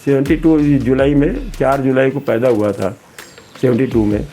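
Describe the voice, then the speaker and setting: A man's voice: medium pitch, moderate pace, warm tone, slight background noise. An elderly man in his eighties, a retired army veteran, telling his memories conversationally in a rambling way, repeating words and acting out remembered dialogue. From Betul, central India. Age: 80s. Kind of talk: conversational personal reminiscence.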